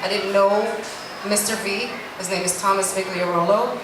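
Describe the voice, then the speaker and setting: A woman's voice: mid-range voice, steady tone, normal speed. Woman from Jamaica, guest, earnest, restarts often, distant mic, noisy background. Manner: earnest, personal, with many restarts. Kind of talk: candid conversational interview. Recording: echoey room from a distance, noisy.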